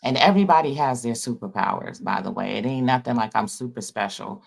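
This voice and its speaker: A man's voice: medium pitch, brisk, gravelly, husky. A man giving a loose, rambling spiritual talk to his viewers in an informal, folksy way. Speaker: spiritual vlogger. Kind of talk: casual spiritual monologue.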